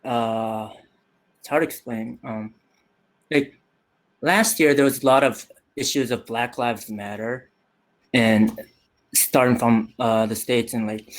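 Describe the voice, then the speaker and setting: A man's voice: medium pitch, low-quality recording. A young man from Japan speaking on a video call, groping for words with many fillers and restarts. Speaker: youth participant. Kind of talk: casual video call chat.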